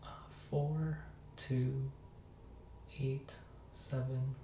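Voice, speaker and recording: A man playing the patient in an ASMR medical roleplay, giving brief, cooperative answers and reading out slowly. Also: medium pitch, little pitch movement, warm tone. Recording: echoey room with faint noise.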